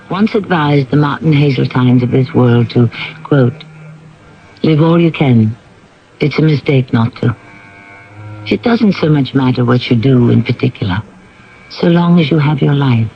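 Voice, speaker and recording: A man hosts a 1970s radio mystery in a theatrical, deliberate style. His voice sits at a middle pitch. Faint music plays behind the slightly dull broadcast sound. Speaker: radio drama host. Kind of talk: 1970s scripted radio hosting, measured narration.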